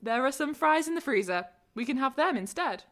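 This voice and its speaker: Woman, matter-of-fact, lively intonation, lesson dialogue reader. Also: sits high, brisk.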